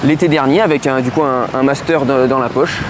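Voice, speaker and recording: Man in his twenties, from Lyon region, interview guest, halting and hesitant, medium pitch, city street noise behind. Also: steady tone. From Lyon region, France. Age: 20s. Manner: hesitant, many fillers and restarts. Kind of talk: casual interview answers.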